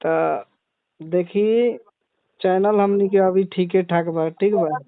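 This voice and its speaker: A man with a Bhojpuri accent in a casual phone chat, asking questions in leisurely, drawn-out phrases on a recorded call. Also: high voice, fairly even intonation, no room sound, narrow, tinny audio. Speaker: comedy channel owner interviewing a guest.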